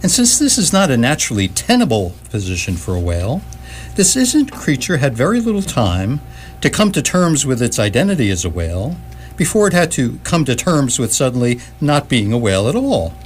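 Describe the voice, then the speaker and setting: A man playing a dry, wry narrator in radio theatre, with formal, deadpan wording. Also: medium pitch, brisk, slight background noise.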